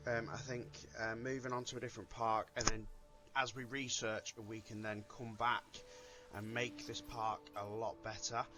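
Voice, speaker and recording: A man's voice: medium pitch, little pitch movement, moderate pace. A man giving casual playthrough commentary as a gaming video host, hesitantly thinking aloud. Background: game music.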